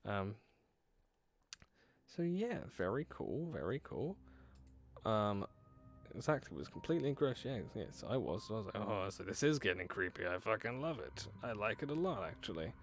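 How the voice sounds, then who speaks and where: Sweary male streamer with lively pitch. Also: moderate pace, a little hiss or hum. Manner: swears often, laughs, banters with chat. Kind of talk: live-streamed horror game playthrough, reading game text aloud. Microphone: headset.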